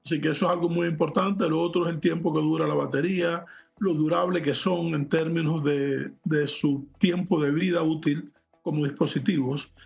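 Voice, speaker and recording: A man with a fairly high, gravelly voice explains technical matters as an interview guest. He is measured and precise. The sound is muffled and lo-fi, with music underneath. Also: fairly even intonation. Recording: muffled lo-fi audio, music behind.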